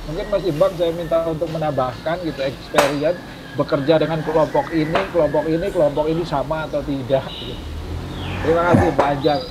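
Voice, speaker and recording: Man over very loud background noise. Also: on the high side, fairly even intonation, brisk. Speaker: webinar moderator or panelist. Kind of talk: casual spoken discussion in an online seminar.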